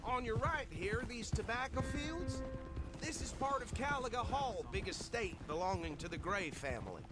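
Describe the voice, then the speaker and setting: An actor playing an anxious, bossy and loyal sheriff's deputy in a video game, with loud music over him. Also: male voice, extremely high pitch, animated, normal speed. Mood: calm, chatty. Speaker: deputy lawman. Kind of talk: video game cutscene acting.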